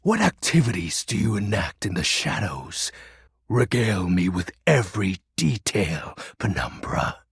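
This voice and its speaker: Casting: a self-important lord of darkness for a game; low, creaky rasp, slow theatrical grandeur. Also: male voice, big pitch swings, dry recording, clean studio sound, full character voice. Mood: haughty, scheming. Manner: pompous, theatrical, archaic grandiose diction. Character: melodramatic, self-styled male dark lord, personification of darkness. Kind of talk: video game character voice acting.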